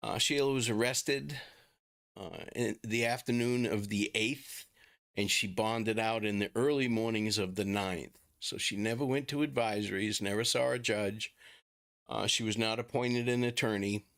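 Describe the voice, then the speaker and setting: A middle-aged man with a Boston accent talks casually and haltingly to viewers as a livestream host. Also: lowish voice, gravelly, a bit bright. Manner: informal, chatty, halting.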